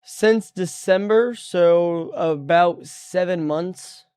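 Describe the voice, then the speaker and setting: A man's voice: sits high, drawn-out words, clear, resonant tone, dry recording. A young man on a live stream chatting casually and easygoing about his work day. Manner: relaxed, easygoing, trails off mid-sentence. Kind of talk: casual chat.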